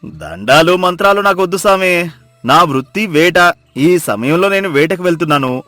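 A man playing a mocking forest hunter who drives a hard bargain, loud and taunting, in a moral story. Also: a little high, lively intonation, normal speed, dry recording, soft background music, voice pushed up. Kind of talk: dramatized moral story acting.

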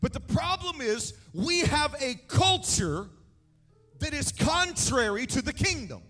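A man, preaching an energetic sermon with a handheld mic on a large church stage, emphatic and shouting key points. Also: extremely high pitch, unhurried, soft background music. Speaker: pastor. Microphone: handheld microphone. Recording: echoey hall, mic set back.

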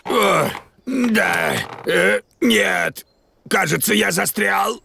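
A man voicing a gruff old grandfather dog mechanic with a gravelly voice in a children's cartoon. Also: sits high, drawn-out words, full character voice. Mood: blustering. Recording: loud music behind the voice.

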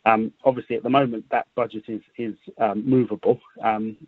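A man explains things in a semi-formal, measured way on a conference call, repeating words as he goes. The sound is dry and phone-like, with a narrow, muffled band. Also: lowish voice, level tone, breathy edge, dry recording. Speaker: business improvement district representative.

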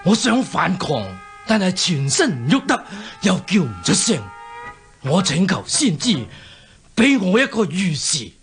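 A man's voice: high-pitched, sing-song, unhurried, fairly bright tone, soft background music, full character voice. A scheming, imperious king, swooping voice. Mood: solemn, troubled. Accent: Hong Kong Cantonese. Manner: imperious, theatrical, falsely genial. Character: cunning, scheming usurper king, a fierce warrior. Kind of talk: Cantonese radio drama acting.

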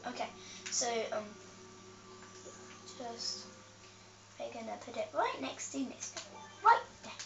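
A woman's voice: fairly high pitch, very animated, takes it slowly, vocal fry, pauses often. A young woman talking through a game tutorial, hesitant with many ums and self-corrections, heard from a camera filming a TV. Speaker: gameplay tutorial host. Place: room with a TV, off camera. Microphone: camera filming the TV screen. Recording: echoey, distant, dull, noisy camera audio.

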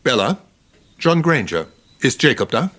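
An actor playing a concerned country doctor in a radio crime drama, discreet and a little hesitant. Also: male voice, mid-range voice, sing-song, a little slow, fairly bright tone, a little hiss or hum. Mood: cautious.

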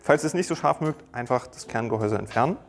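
A young man talking through a recipe in an easygoing, chatty way, dropping small jokes as he cooks. His voice sits at an ordinary middle pitch, close and clean on a lapel mic in a bright home kitchen, with faint music underneath. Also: lively intonation, quick pace, gravelly. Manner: friendly, chatty, explains step by step with small jokes. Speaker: cooking channel host. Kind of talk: casual cooking tutorial.

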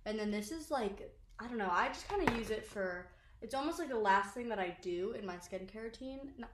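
A woman's voice: medium pitch, expressive, fairly quick, echoey room, heavy background noise. A young woman rambling casually to the camera about her favorite makeup, with lots of "like" fillers and self-deprecating asides. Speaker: social media creator. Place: small bedroom, indoors.

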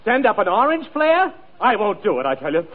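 An indignant, prissy sailor, high-voiced and melodramatic. His pitch leaps about in an old radio comedy with tinny sound. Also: huge pitch swings, fairly quick, heavily acted. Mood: indignant, defiant. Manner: melodramatic, defiant. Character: indignant, prissy male sailor refusing orders. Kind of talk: 1940s radio comedy acting.

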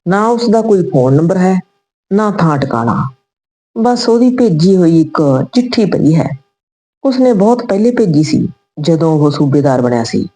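A woman's voice: lowish voice, big pitch swings, measured pace, warm tone. A woman voicing a wistful, regretful old retired soldier reminiscing in a told story. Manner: reminiscing, sentimental.

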